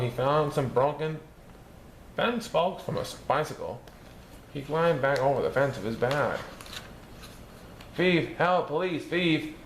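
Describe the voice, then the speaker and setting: A man reading a children's picture book aloud, lifting his voice high for the characters' lines. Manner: lively, raising his pitch for characters' lines. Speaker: storybook reader. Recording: echoey room with faint background noise.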